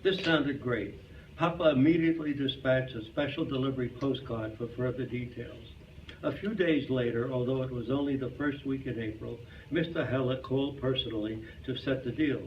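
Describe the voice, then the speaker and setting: A man's voice: mid-range voice, moderate pace, muffled recording. A man giving a staged reading of a humorous memoir in a club hall. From Brooklyn, New York. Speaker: reader at a community readers theater. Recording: distant mic, noisy hall sound.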